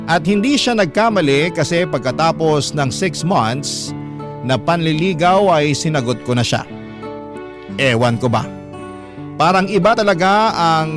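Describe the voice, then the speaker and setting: Higher-voiced male storyteller over guitar music. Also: lively intonation, a little slow. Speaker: radio show host reading a listener's letter. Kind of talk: first-person romantic story narration.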